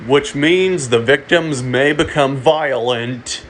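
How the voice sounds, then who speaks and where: Guy doing a fake emergency broadcast, slow n halting, repeats himself, bit of room hum behind him. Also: medium pitch, fairly bright tone. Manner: halting, repeats and restarts phrases. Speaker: amateur narrator of a fictional emergency broadcast. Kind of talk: mock emergency alert announcement, semi-improvised.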